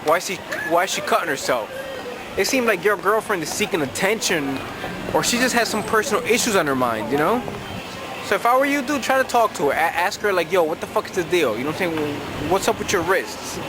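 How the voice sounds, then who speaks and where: A man's voice: high-pitched, expressive, fairly quick, over music. A young man giving blunt, profane advice on a vlog, reading out viewer letters while tipsy. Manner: brash, profane, slangy. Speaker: advice vlogger. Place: outdoors in a city at night.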